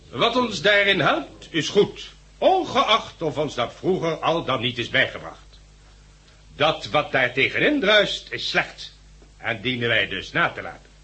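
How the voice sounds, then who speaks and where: An actor playing a stern community leader in a 1960s Dutch radio drama, lecturing in formal, emphatic sentences. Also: male voice, fairly high pitch, sharp tone, exaggerated voice. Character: stern male leader addressing survivors of a catastrophe.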